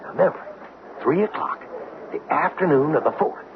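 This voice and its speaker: A man's voice: on the high side, lively intonation, moderate pace. A man playing a scheming, cocksure convict in an old-time radio drama, talking in a folksy, urgent, confident way. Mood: conspiratorial, confident. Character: scheming, cocksure male convict planning a prison breakout. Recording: dull, noisy old radio recording.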